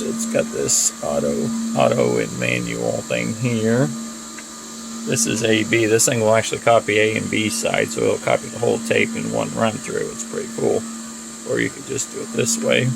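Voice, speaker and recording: A man with a lowish, heavily creaky voice talks casually through a hands-on demonstration of some equipment. He is plain-spoken and unscripted, with fan and motor noise clearly audible behind him. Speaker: audio repair hobbyist demonstrating equipment. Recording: noisy recording with clear machine hum. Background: loud cooling fan and motor noise.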